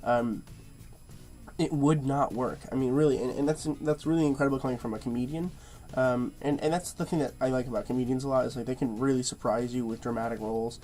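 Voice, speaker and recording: A man's voice: mid-range voice, fairly even intonation, a little fast, mellow. A man with a stuffy, nasal-sounding nose giving a casual movie review, rambling and padding his speech with fillers and repeated words.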